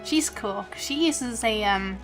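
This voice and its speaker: A woman's voice: fairly high pitch, expressive, unhurried. A woman game streamer giving casual, chatty, giggly commentary as she plays. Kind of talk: casual live gameplay commentary. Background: game music.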